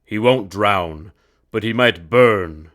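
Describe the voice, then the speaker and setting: A man voicing an imperious, wrathful emperor from a storybook, with a commanding, booming delivery. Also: slow pace, fairly bright tone. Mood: menacing command.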